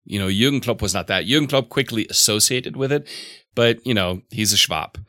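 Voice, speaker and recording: A man's voice: lowish voice, brisk, pushed and bright, dry recording, clean studio sound. A man as a football pundit in a casual podcast chat, agreeable and explaining at length.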